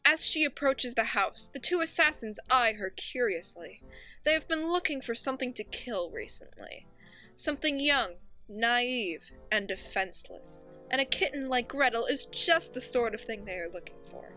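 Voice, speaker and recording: A woman's voice: on the high side, animated, normal speed, pushed and bright, lo-fi sound, faint music behind. A woman playing a melodramatic, easily exasperated narrator in a radio play, grandiose and theatrical. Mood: ominous. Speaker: narrator and host.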